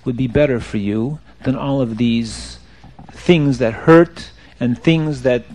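A man voicing a wise, merciful rabbi in a religious tale, questioning and giving measured, soothing counsel. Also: high voice, big pitch swings, poor audio. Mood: calm, assured. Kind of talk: oral religious storytelling, character voice.

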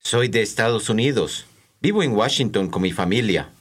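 A man reading scripted language-course dialogue, enunciating slowly and carefully for learners. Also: on the low side, expressive.

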